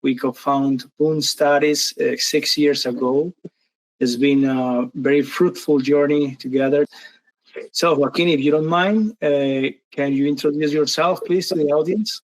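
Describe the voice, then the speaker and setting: A man hosting an interview, asking his business partner questions with halting phrasing. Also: a little high, fairly even intonation, poor audio. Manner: halting, with fillers. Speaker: interview host.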